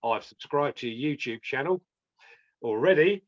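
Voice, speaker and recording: A man's voice: medium pitch, animated, moderate pace, no echo. Encouraging male reviewer on a dull mic. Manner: enthusiastic, encouraging. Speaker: guest reviewer. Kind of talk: casual video call chat.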